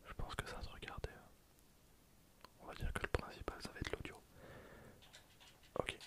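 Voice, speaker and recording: A man whispering casual, breathy ASMR commentary over gameplay in an informal way. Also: a little slow. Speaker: gaming video creator.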